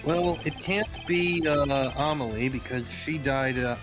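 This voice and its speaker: A man's voice: a little high, measured pace, over music. A man chatting casually while playing through a game with friends, thinking aloud with hesitant fillers. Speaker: dub cast member commenting. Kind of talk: casual chat over a game.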